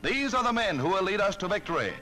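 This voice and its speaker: A man's voice: high-pitched, big pitch swings, fast, bright, forceful tone. A man with an American accent narrating a 1940s newsreel in a rousing, declamatory, patriotic way, on a vintage soundtrack. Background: music.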